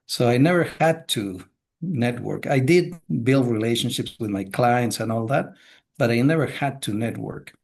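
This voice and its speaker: Middle-aged Chilean-born man, measured podcast guest. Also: medium pitch. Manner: measured, frequent "uh" fillers. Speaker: guest, business coach. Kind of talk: casual podcast interview.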